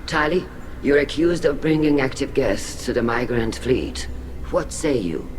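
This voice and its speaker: A man voices a grave alien elder presiding over a tribunal in a video game. His mid-pitched delivery is formal, measured and ceremonial, with clear background ambience behind it. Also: expressive, slight background noise, close to an everyday voice. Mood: formal, solemn.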